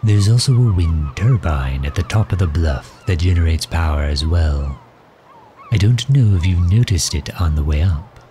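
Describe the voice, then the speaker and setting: Casting: suave, protective male villain for ASMR roleplay played by a man, very low voice, courtly and gentle, outdoor bird ambience behind. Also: expressive, a little hiss or hum. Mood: proud, explanatory. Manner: gentle, courtly, measured and formal. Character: suave, protective male villain doting on his captive guest.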